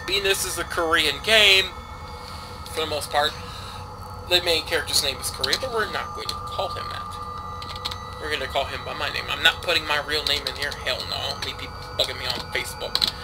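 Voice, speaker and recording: A man's voice: on the high side, noisy background. A man casually commentating a game he is playing, rambling and offhand with lots of fillers. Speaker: let's play host.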